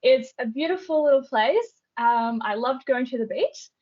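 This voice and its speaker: A young Australian woman answering interview questions in a relaxed, thoughtful way, with frequent ums. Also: sits high, moderate pace, husky, lo-fi sound. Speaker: guest artist.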